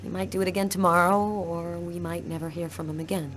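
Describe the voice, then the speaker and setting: A woman playing an analytical, measured police detective in a video game. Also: on the low side, fairly even intonation, a little hiss or hum, close to an everyday voice. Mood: businesslike.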